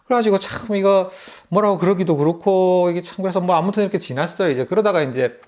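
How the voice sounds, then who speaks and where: A middle-aged man speaks with a Busan Gyeongsang accent in a somewhat higher voice. He tells a casual story to camera as a vlogger. He talks in a loose, chatty way and laughs often. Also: fast talker, a little mellow. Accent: Gyeongsang (Busan) Korean. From Busan, South Korea. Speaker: YouTube vlogger.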